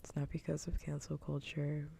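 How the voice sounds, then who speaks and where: A woman's voice: low voice, little pitch movement, normal speed, vocal fry, warm tone, a little hiss or hum. A woman whispering close to the microphone in a casual, candid ASMR live stream chat.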